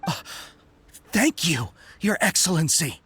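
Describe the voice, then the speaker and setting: A man voicing a dutiful, deferential soldier in a video game, earnest and formal, with a husky voice. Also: on the high side, sing-song, slow pace, a bit bright, heavily acted. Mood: grateful, earnest. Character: dutiful, deferential soldier humbly addressing a commander.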